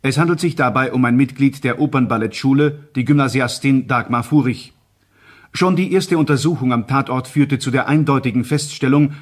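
An actor in a crime radio play reading newspaper reports in a grave, scripted news style. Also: male voice, mid-range voice, close to an everyday voice. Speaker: newsreader.

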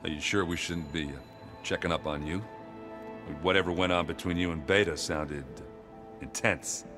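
An actor playing a gruff, good-hearted, self-deprecating soldier in a video game, talking in a hesitant, joking but sincere blokey way. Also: male voice, deep voice, steady tone, normal speed, natural voice. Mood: concerned, teasing. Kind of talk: video game dialogue acting. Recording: prominent music behind the voice.

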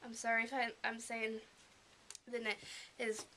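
A young woman with a regional American accent, gushing softly and emotionally as she unboxes a gift in a small room at home, filmed on a webcam. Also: on the high side, monotone, a little slow, a little hiss or hum. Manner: gushing, emotional, soft-spoken and rambling. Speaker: vlogger. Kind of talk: casual unboxing vlog.

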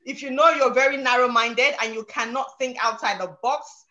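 Bright-voiced woman hosting, emphatic. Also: sits high, fairly even intonation, moderate pace. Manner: emphatic, tags lines with "okay?". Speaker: show host. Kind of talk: casual interview hosting. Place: home room, indoors. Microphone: video call.

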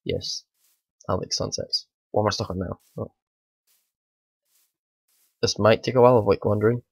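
A man, hoarse from a bad cold, rambling through casual let's play commentary at home. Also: on the low side, fairly even intonation, moderate pace, no room sound. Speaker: gaming channel host.

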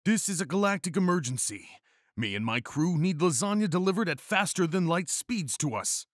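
A man puts on a macho cat hero from a comic fan story, full of pompous righteousness. The delivery is declamatory, with big swings in pitch and a dry, close sound. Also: expressive, moderate pace, breathy edge, no echo. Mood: indignant. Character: macho, bombastic, lasagna-obsessed male cat hero, righteous and flirty.

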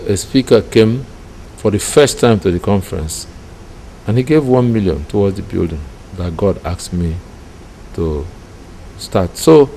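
Middle-aged male preacher, Nigerian accent, low voice, measured testimony, noisy room recording. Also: darkish tone. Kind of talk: personal testimony in an interview. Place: indoor room with curtains. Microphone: microphone close in front. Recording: clear background noise.

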